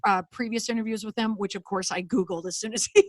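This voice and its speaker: A middle-aged woman hosting a casual talk on public relations, animated, laughing often and thinking aloud. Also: mid-range voice, fast, no room sound, clean studio sound. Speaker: podcast host, PR commentator. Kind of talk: casual conversational commentary.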